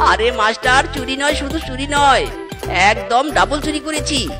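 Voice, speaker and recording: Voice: high voice, expressive, slow. An actor voicing a boastful, giggly, dim-witted cartoon animal apprentice thief. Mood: gleeful, proud. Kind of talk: comedy cartoon voice acting. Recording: loud music behind the voice.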